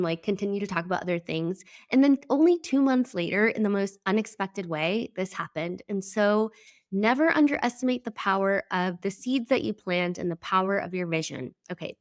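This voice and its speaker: A young adult woman from El Salvador, whose first language is Spanish, hosting her podcast in a chatty, emphatic monologue with frequent "like" and casual swearing. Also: medium pitch. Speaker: podcast host.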